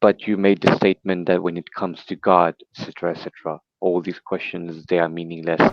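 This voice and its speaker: Caller: deep, gravelly man from Pakistan, pressing hard with challenging questions over a muffled lo-fi line. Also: little pitch movement, fairly quick, dry recording. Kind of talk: call-in debate questioning.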